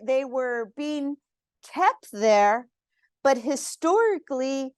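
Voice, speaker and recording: A woman in her fifties from Texas giving an earnest, heartfelt museum lecture, pausing often with fillers as she speaks. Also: high-pitched, dry recording, studio quality. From San Antonio, Texas. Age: 50s.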